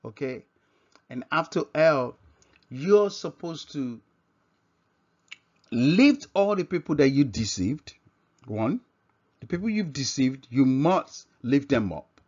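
A man with a Nigerian accent teaches scripture informally at a medium pitch. He speaks haltingly, circling back to repeat and reword his points. The recording is dull and lo-fi. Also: lively intonation, a little mellow. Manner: halting, repeats and rephrases himself. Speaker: religious teacher. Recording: dull, lo-fi microphone sound.

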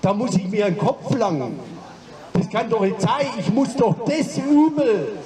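A man making a deliberate protest speech at an open mic on a city street, pausing often as he cites figures into a handheld mic. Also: high voice, expressive, roomy sound, distant mic, crowd noise. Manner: slow, deliberate, with pauses. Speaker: demo speaker at open mic. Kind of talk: protest speech citing numbers. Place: city street outside a broadcast building. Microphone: handheld mic, far camera.

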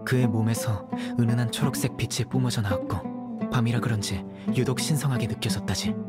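A man voicing a calm, stern young male judge in a dubbed game, speaking in a measured, dry way. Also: mid-range voice, over loud music, lightly acted. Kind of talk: game dub voice acting.

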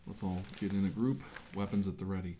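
A middle-aged man playing an earnest scientist who leads an armed mission, speaking in halting, measured phrases on a noisy recording. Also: low-pitched, fairly even intonation, dark tone, natural voice. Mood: earnest, commanding. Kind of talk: amateur sci-fi role-play, briefing a team.

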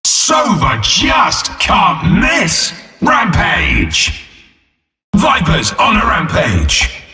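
Game role: vengeful robot arena host, mock-polite, theatrical, deliberate pace, hugely animated. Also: male voice, fairly high pitch, reverberant, in a large hall, recorded from a distance, heavily acted. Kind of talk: video game announcer voice lines.

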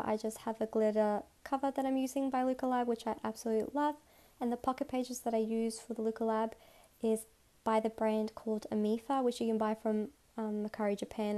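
A woman's voice: on the high side, warm tone. A woman with a slight cold, narrating a casual voice-over as she shows her collection, chatty and enthusiastic. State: has a cold. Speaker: photocard collector, channel host. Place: recorded separately as voice-over, not in pictured room. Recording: music playing underneath.

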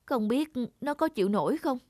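Casting: anxious, protective young mother, cartoon drama, slightly high, dry sound. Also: expressive, moderate pace, a little mellow, no echo. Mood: worried. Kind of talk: dubbed cartoon drama dialogue.

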